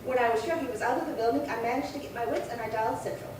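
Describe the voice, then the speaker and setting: A woman's voice: on the high side, racing, natural voice. A woman acting a prim, chatty, indignant old lady in her late seventies in a 1950s-style radio crime drama, fussy and rambling. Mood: earnest recounting. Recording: very echoey, distant, noisy room.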